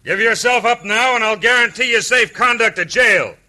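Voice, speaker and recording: Casting: ruthless double-crossing gunslinger, high and piercingly forceful, vintage radio western. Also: male voice, normal speed, heavily acted. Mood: cold, commanding. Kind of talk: old-time radio western acting. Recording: old radio recording, faint noise.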